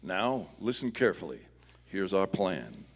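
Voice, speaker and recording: An actor playing a firm, upright masked cowboy hero in a live Western radio play, speaking formally. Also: male voice, deep voice, very animated, measured pace, big-room sound. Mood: purposeful.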